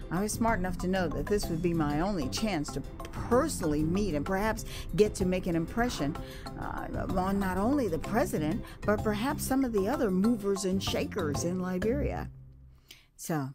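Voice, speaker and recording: An American woman narrating her own memoir as an audio drama, with theatrical, expressive delivery that savours the words. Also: medium pitch, moderate pace, over music. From United States.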